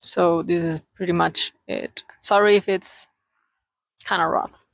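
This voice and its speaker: A woman's voice: fairly low pitch, steady tone, unhurried, gravelly, no room sound. A woman from Chile with a Chilean Spanish accent speaks English as a second language in a casual, unscripted vlog, searching for words in a hesitant, self-deprecating way with little joking asides. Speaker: vlogger, aspiring cartoonist and illustrator. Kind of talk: casual unscripted confessional chat.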